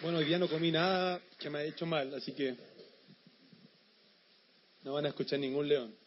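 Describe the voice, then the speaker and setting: A man's voice: fairly high pitch, normal speed, noisy background. A man from Chile with a mixed Chilean, Ecuadorian and Uruguayan accent, preaching a sermon to a church congregation in an easygoing, encouraging way. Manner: relaxed, then encouraging and preachy. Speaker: guest pastor. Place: church in Quito. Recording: dull lo-fi sound.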